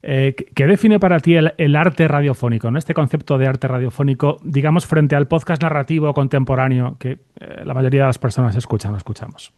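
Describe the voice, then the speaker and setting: A man hosting a conversational interview, phrasing his questions reflectively and haltingly, with frequent tag questions. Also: mid-range voice, a little mellow, no echo, clean studio sound. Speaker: podcast host, interviewer.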